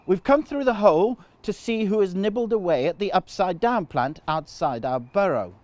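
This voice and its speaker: A man's voice: sits high, lively intonation, normal speed, darkish tone, no room sound, voice pushed up. A man voicing a serious little bunny with an earnest, formal, precise way of talking, in a storybook. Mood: politely stern.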